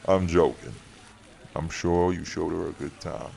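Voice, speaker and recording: A man playing a weary, wry, protective vampire city leader in an audio roleplay, speaking in a measured, dry, teasing way. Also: low-pitched, steady tone, creaky, a little mellow, full character voice. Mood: wry, fond. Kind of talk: audio roleplay drama acting.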